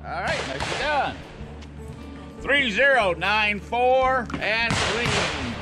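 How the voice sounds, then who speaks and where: A man's voice: high-pitched, big pitch swings, slow pace, loud music behind the voice. An older man giving procedural, matter-of-fact instructions as a range officer at an outdoor shooting match.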